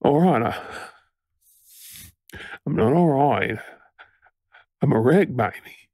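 A worried, tender boyfriend with an Australian accent , acted by a man for an intimate audio role-play. He stammers and fusses affectionately, his husky voice rising and falling with emotion. The recording is dry and close. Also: mid-range voice, expressive, slow, a little mellow, dry recording. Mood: shaken, loving. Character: frantic, tender, fussing boyfriend of a firefighter.

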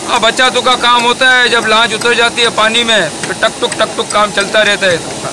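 A middle-aged man from Pakistan who paints boats, explaining his work in a plain, halting way with restarts, recorded outdoors in a boatyard on a camera mic. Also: very high voice, fairly even intonation, noisy background. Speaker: boat painter.

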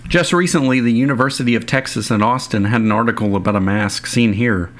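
A man's voice: medium pitch, fast. A young man reading a scripted voice-over for his online video in a plain, informal way. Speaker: YouTube video narrator.

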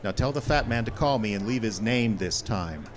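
Man acting hard-boiled detective, lowish pitch, wisecracking, cynical, clipped radio drama delivery, some background noise. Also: lively intonation, moderate pace, natural voice. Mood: tough, needling. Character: cynical, wisecracking, tough male private detective.

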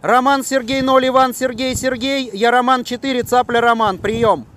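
A middle-aged man with a very high-pitched voice works as a radio operator. He speaks in courteous, deliberate procedure, outdoors with clear noise around him. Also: fairly even intonation, fairly bright tone. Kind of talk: formal radio exchange, asking questions. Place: outdoors on a city rooftop.

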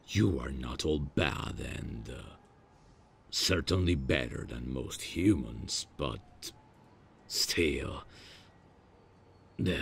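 A man voicing a proud, grumpy demon on an awkward date, deadpan and menacing with hesitant phrasing, in an ASMR roleplay. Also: a bass voice, gravelly, a little hiss or hum, heavily acted. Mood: grudging.

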